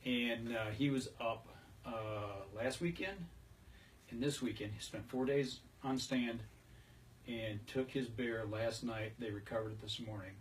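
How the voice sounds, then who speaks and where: A man's voice: mid-range voice, measured pace. A man hosting a casual hunting talk show in a folksy, conversational way. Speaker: podcast host. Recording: distant mic, noticeable background noise.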